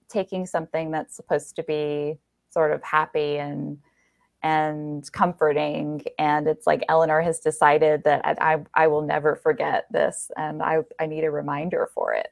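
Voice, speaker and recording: A woman's voice: deep voice, level tone, measured pace, creaky, no room sound. A woman hosting a podcast, chatting casually in an enthusiastic, affirming way as she interviews a guest. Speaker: podcast host.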